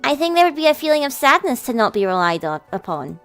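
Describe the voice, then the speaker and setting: Woman playing a high, soft-spoken devoted little sister. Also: sing-song, quick pace, clear, resonant tone, soft background music, heavily acted. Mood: earnest, troubled. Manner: soft, polite, doting. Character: precocious, devoted little sister, gentle, polite and wistful. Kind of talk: visual novel character voice in a let's play.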